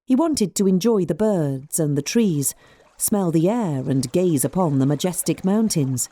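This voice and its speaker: A woman narrating a scripted folk tale in an expressive, measured storyteller's manner. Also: fairly low pitch, no echo.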